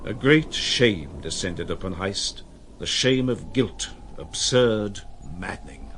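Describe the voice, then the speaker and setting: Low-voiced man, solemn literary narrator. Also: animated, fairly bright tone, a little hiss or hum. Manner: measured, solemn.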